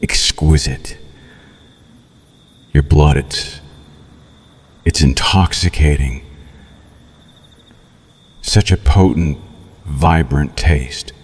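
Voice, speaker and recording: A man's voice: rumbling low, heavily acted. A man playing a cruel, seductive vampire in an ASMR roleplay, taunting the listener with formal, theatrical menace. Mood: intoxicated, hungry. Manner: slow, theatrical, mocking, menacing purr with formal diction.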